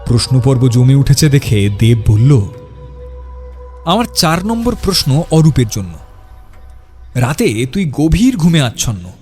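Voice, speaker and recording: A man's voice: medium pitch, big pitch swings, a little slow, pauses often, a little mellow. A man narrating a scripted horror story in a dramatic, suspenseful storytelling style. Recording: music behind the voice. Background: music.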